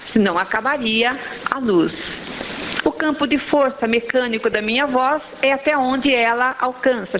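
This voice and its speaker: A woman's voice: a little high, gravelly. A Brazilian woman giving a religious talk in Brazilian Portuguese, explaining in a didactic way and checking in with rhetorical questions. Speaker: spiritist lecturer. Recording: dull lo-fi audio, faint background noise.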